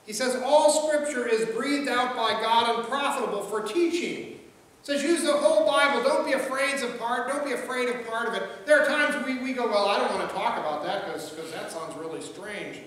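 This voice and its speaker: A man's voice: extremely high pitch, moderate pace, fairly bright tone. A middle-aged man preaching a sermon from a pulpit in a large church, in a conversational manner that repeats words as he goes. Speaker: pastor. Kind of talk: sermon with jokes and quoted voices. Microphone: pulpit mic, filmed from a far camera. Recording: echoey hall, far-off mic, faint ambience.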